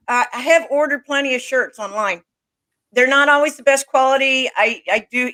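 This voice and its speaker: A woman's voice: high-pitched, pushed and bright. Chatty enthusiastic woman host. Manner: enthusiastic, chatty, repeats herself. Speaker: interview host. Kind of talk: casual video call interview.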